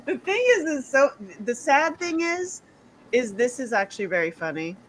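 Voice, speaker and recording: A woman hosting a live chat show, playful and loud, laughing often and teasing her guests. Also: high-pitched, big pitch swings, normal speed, a little hiss or hum, low-quality recording. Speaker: host. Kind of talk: casual chat.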